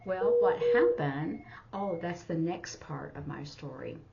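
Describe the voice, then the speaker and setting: A woman teacher retelling a story informally for a lesson, in a halting, step-by-step way. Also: low-pitched, creaky, warm tone, a little hiss or hum. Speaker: sign language teacher. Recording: dull, muffled lo-fi audio.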